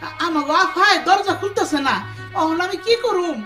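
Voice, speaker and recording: A woman's voice: very high voice, moderate pace. A woman acting a flustered young housemaid with a colloquial Bangladeshi Bengali dialect in a radio drama. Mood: panicked, pleading. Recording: music behind the voice.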